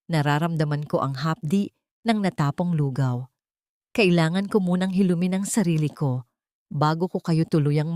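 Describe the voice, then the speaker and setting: A woman voicing a gentle, wounded young heroine, loving but weary and resolute, speaking with earnest sorrow in audiobook drama. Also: lowish voice, no echo, studio quality, close to an everyday voice.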